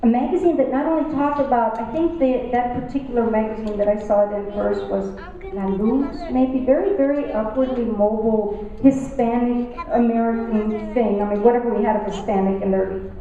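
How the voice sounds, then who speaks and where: A woman's voice: fairly high pitch, steady tone, a little fast. A woman from San Antonio, giving a rhythmic, emphatic public introduction of a poet at a university. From West Side of San Antonio, Texas. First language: Tex-Mex. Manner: rhythmic, repetitive oratory with emphatic rises. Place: university lecture hall. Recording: distant, echoey, muffled hall recording.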